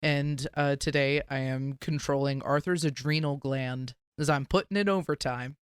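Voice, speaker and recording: A man co-hosting a podcast, chatting casually and recapping in a smooth, clear voice. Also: mid-range voice, fairly even intonation, moderate pace, no echo, studio quality. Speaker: podcast co-host. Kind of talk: casual chat with recap narration.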